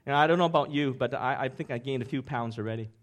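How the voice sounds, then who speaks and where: A man's voice: mid-range voice, fast talker. A middle-aged man from Hong Kong preaching with an upbeat, joking manner, putting questions to the congregation. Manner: jokey, upbeat, asks the crowd questions. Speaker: pastor. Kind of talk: sermon with audience interaction.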